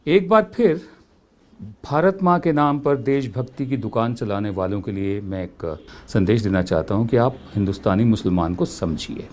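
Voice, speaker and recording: A man's voice: on the low side, expressive, normal speed, darkish tone. A man giving political commentary, emphatic and full of pointed rhetorical questions. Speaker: news commentator.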